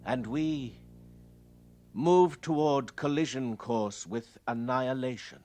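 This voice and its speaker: A man's voice: medium pitch, slow, a little mellow, soft background music, close to an everyday voice. An actor playing a grave, haunted crewman who narrates a doomed space voyage in a mournful radio-drama style.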